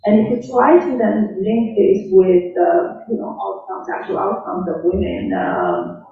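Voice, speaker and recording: A man whose first language is Armenian, speaking as an economist on a panel, halting with many fillers and restarts. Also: sits high, wildly swooping pitch, vocal fry, phone-quality sound. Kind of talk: academic panel discussion. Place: function hall. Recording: echoey hall from far off, phone-thin sound.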